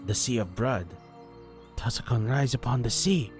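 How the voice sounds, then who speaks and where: A man voicing a grave, brooding fantasy warrior who speaks in a serious, deliberate manner. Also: medium pitch, faint music behind, voice pushed up, close to an everyday voice.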